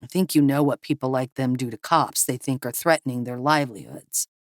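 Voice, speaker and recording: A woman acts out a steady, grim man in an audiobook thriller. The voice is low and restrained, firm and grave in delivery. The sound is dry. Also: a little fast, dry recording. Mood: grim, hushed. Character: calm, grim, firm male helicopter pilot.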